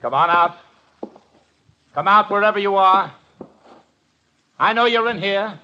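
An actor playing a brash, wisecracking detective's legman in a 1950s radio drama, with brisk, slangy delivery. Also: sits high, pushed and bright, heavily acted. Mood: agitated. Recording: muffled vintage 1950 radio recording, faint noise.

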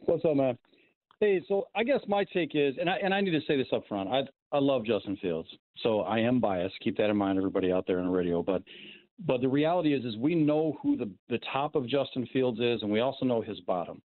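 A man calling a sports radio show over the phone, laying out his take as a fan in a measured, reasoned way. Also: mid-range voice, lively intonation. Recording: narrow, tinny phone line.

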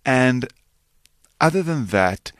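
A man's voice: mid-range voice, lively intonation, slow, a bit bright, no room sound, studio quality. A man from South Africa, a native Afrikaans speaker, speaking English as a film's guest on a radio interview, talking earnestly and often restarting his sentences. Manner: earnest, rambling, with many restarts. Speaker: filmmaker and actor guest.

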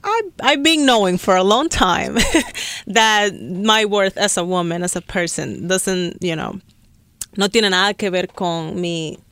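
A woman's voice: mid-range voice, lively intonation, unhurried, a bit bright, no room sound. A woman from the Dominican Republic, a podcast guest, telling a candid personal story in a frank, easygoing way with many "you know"s and "like"s. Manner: frank, laughs easily, fillers like "you know" and "like".

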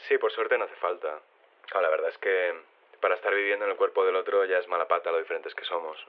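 An actor playing an affable phone-in guest in an audio drama, chatty with hesitant starts and an accent from Spain. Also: male voice, deep voice, fairly even intonation, fast, natural voice. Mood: chatty, agreeable. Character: affable body-swapped male radio phone-in guest. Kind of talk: conversational fiction interview. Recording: tinny narrow telephone line.

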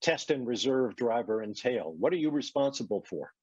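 A man's voice: medium pitch, animated, normal speed, husky, dry recording. A man doing a broadcast motorsport interview as the interviewer, with a polished, wordy delivery and wry asides. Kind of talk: broadcast interview, scripted intro. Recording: dull, muffled lo-fi audio.

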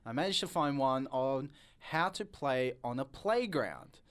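A man's voice: mid-range voice, animated, measured pace, a bit bright, smooth. A young man co-hosting a radio show with casual, playful, teasing banter.

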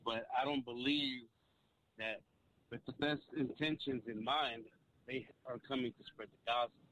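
A man's voice: mid-range voice, steady tone, normal speed, a bit bright, slight background noise, rough recording. Hesitant but firm male caller. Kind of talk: call-in comment.

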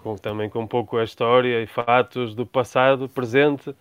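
A man's voice: medium pitch, steady tone, normal speed, clean tone, no room sound. A man in his thirties from Portugal with a Portuguese accent, a guest answering interview questions thoughtfully, often restarting his words. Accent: European Portuguese. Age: 30s. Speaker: guest, traveler. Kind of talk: conversational interview.

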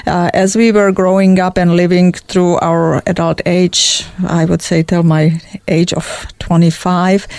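An older woman with a Czech accent, recounting her past in a measured way as an interview guest. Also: lowish voice, gravelly, darkish tone, no echo. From Czech Republic. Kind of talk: interview storytelling.

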